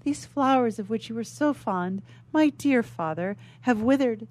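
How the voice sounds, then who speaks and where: A woman's voice: fairly high pitch, animated, a little slow, darkish tone, pitched above the performer's own voice. A woman playing a sweet, devoted young daughter, speaking earnestly and formally in a melodramatic period stage drama. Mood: dutiful, tender.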